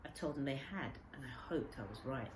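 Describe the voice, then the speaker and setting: A woman reading a children's story aloud as a teacher, in expressive narration. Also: a bass voice, moderate pace. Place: indoor room with a window. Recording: echoey room with faint background noise.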